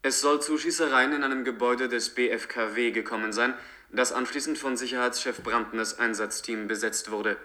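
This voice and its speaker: An actor as a formal male newsreader in a science-fiction radio drama. His voice is bright and forceful at a middle pitch. He delivers urgent scripted news. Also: steady tone, moderate pace, few pauses, lightly acted.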